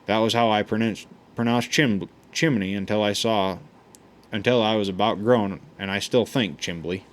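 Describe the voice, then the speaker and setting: A man reading a memoir aloud in an unhurried, folksy way, often stumbling and restarting words. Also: fairly low pitch, steady tone. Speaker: audiobook reader.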